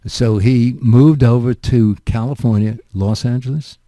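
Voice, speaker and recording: A man's voice: mid-range voice, steady tone, measured pace, warm tone, dry recording, studio quality. An older man hosting a radio interview, asking chatty, rambling questions full of asides. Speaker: radio show host.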